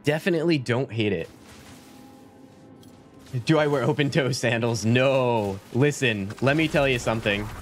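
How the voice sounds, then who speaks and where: A man in his thirties streaming a game from a small home room on a desk mic, chatting casually and rambling, his voice a little deeper from being sick. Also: moderate pace, long pauses, a little hiss or hum. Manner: laid-back, rambling, says "like" and "dude" a lot. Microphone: studio mic at desk, webcam framing. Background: game music.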